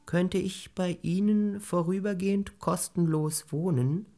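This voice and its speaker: A man voicing a timid young female cricket who plays the fiddle in a storybook, asking softly in a meek, raised voice. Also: fairly even intonation, a little slow, faint music behind. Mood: pleading. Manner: soft, higher, meek asking.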